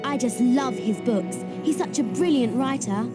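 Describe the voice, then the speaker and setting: An actress playing a brisk, bookish young schoolgirl witch in a video game. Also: on the high side, over loud music, natural voice. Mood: eager.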